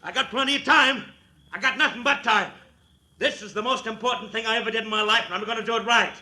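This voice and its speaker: A man's voice: extremely high pitch, quick pace, sharp tone, heavily acted. An actor playing a gleeful, sadistic punk killer who taunts his prey, in 1940s radio drama style. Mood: gleeful, taunting. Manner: giggling, taunting. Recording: 1940s radio broadcast recording.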